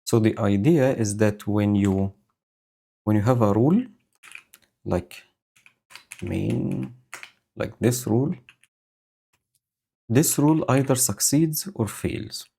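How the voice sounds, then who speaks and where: A man streaming live, explaining his code slowly and thinking aloud with many fillers. He has a low voice and is speaking into a home microphone setup with a noise gate. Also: vocal fry, mellow. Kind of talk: casual live-coding commentary. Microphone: new home audio setup with noise gate.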